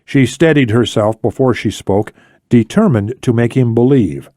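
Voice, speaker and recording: A man's voice: medium pitch, normal speed, mellow, no echo, studio quality. A man reading scripted thriller narration as an audiobook narrator.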